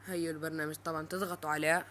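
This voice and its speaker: A man's voice: fairly high pitch, little pitch movement, racing, sharp tone. Young-sounding male tutorial presenter, faint background noise. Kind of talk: informal screen-recorded app tutorial.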